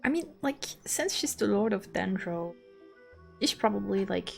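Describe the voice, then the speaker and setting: A woman's voice: medium pitch, lively intonation, measured pace, creaky. A woman streamer giving casual live commentary while playing a game. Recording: faint game music behind.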